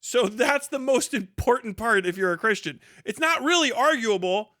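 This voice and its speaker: A man's voice: very high voice, expressive, normal speed, bright, forceful tone, no echo, clean studio sound. A man doing casual, off-the-cuff live commentary on the news in a blunt, sardonic, rambling and argumentative way, with some swearing.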